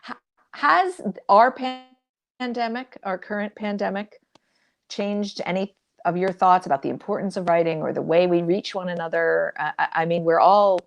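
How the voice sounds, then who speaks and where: A woman's voice: on the low side, moderate pace, vocal fry. A woman hosting an interview, asking questions in an informal, somewhat hesitant conversational way. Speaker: interviewer, event host.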